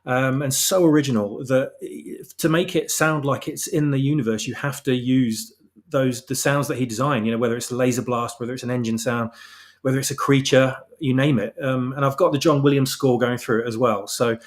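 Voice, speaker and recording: Mid-pitched man, hesitant conversational guest. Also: steady tone, fairly quick. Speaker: guest, fan fiction author. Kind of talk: casual interview chat.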